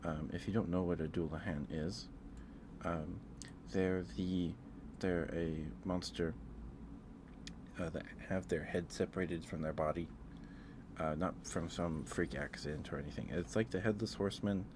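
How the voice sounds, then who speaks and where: A man hosting an ASMR livestream, chatting casually in a halting, rambling way with pauses and repetitions. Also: low-pitched, steady tone, noisy background. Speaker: livestream host.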